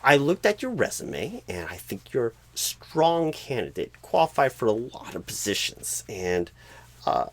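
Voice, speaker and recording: A clueless, flustered male job recruiter for an ASMR comedy roleplay. His voice is husky and gravelly, leaping up and down in pitch. He talks slowly, stammering and breaking phrases in odd places. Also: very animated. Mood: flustered, eager. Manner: halting, stammering, odd pauses and sudden swoops.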